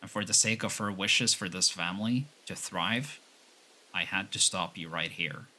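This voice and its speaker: Deep, sharp, gritty Yakuza enforcer voiced by a man. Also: normal speed, slight background noise. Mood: defiant, accusing. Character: stern, loyal Yakuza underling confronting his boss. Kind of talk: audio roleplay drama acting.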